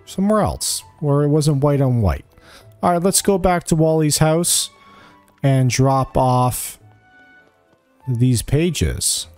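A man's voice: on the high side, expressive, takes it slowly, pauses often, a little mellow, no echo, soft background music. A man giving a casual video game walkthrough, thinking aloud in short, offhand remarks as he plays. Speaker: gamer giving a quest walkthrough. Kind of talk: casual gameplay commentary.

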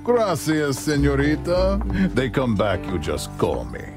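An actor playing a big, gruff, cocky Mexican trapper with a wry, unhurried delivery in a western video game. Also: male voice, fairly high pitch, very animated, over loud music. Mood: cocky, amused. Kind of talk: video game cutscene acting.

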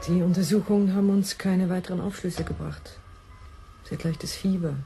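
An actress playing a sober bedside carer in a radio drama, quiet and matter-of-fact. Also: female voice, fairly low pitch, steady tone, normal speed, gravelly, over music. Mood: subdued, sober. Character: sober woman attending a patient at bedside.

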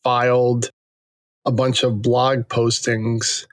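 A man's voice: medium pitch, level tone, takes it slowly, dry recording, studio quality. Male co-host, English his second language. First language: not English. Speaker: podcast co-host, immigration attorney. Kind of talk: casual podcast chat.

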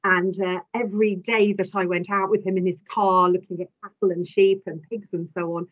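Older woman from England, talkative guest, mid-pitched, muffled call audio. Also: flat delivery, moderate pace. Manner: talkative, warm storytelling with hesitations. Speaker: chief veterinary officer, guest. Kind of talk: conversational interview answers. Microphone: video call. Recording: slightly dull video-call audio.

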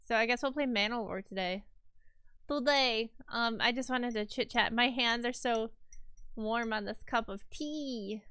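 A woman's voice: a little high, unhurried, sharp tone, smooth, dry recording. A woman livestreamer chatting casually with her viewers, a little stuffy from a cold, rambling with fillers and restarts.

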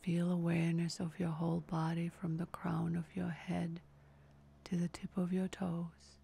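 A woman guides a relaxation meditation in a soft, breathy voice close to a whisper. Her pitch is fairly low and stays very even from phrase to phrase. She gives each instruction calmly and very slowly, in a soothing, measured manner. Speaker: yoga nidra meditation guide.

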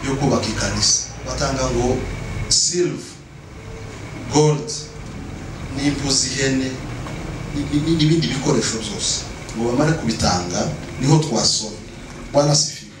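A middle-aged man preaching a sermon emphatically, repeating phrases and calling on the congregation to respond, on a handheld microphone in an indoor church. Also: medium pitch. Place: indoor church stage at a pulpit. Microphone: handheld microphone through room speakers. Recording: very echoey hall, distant, noisy.

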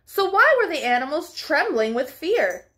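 High-pitched, animated young woman storytime reader. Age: young adult. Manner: expressive, deliberate, child-directed delivery. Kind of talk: read-aloud children's storybook narration. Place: small furnished living room at home.

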